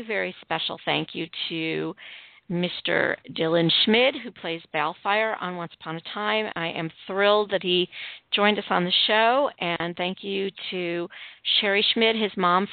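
A woman's voice: lowish voice, measured pace, gravelly, dry recording. Chatty Midwestern woman on a tinny line. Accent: Midwestern American. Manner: chatty, with many ums and restarts. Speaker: host. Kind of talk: casual chat.

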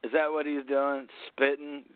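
A man from Georgia co-hosting a podcast, talking haltingly with sarcastic asides and frequent swearing. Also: a little high, little pitch movement, clean tone, no echo. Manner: halting, lots of fillers and restarts, sarcastic. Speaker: podcast co-host. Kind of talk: casual profane podcast banter. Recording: dry, tinny phone-like sound.

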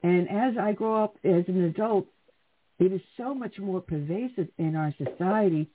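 A man's voice: high voice, moderate pace, background noise. A man co-hosting a conservative talk radio show, telling stories in an opinionated, indignant way. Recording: tinny phone-like sound.

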